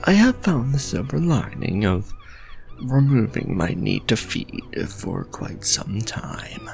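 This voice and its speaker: A man playing a proud, ancient vampire lord in a gothic romantic roleplay, courtly and menacing, speaking in formal, grandiose phrases with breathy edges. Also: mid-range voice, wildly swooping pitch, darkish tone, soft background music, full character voice. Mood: weary tenderness. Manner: formal, archaic, grandiose, dramatic deliberate phrasing.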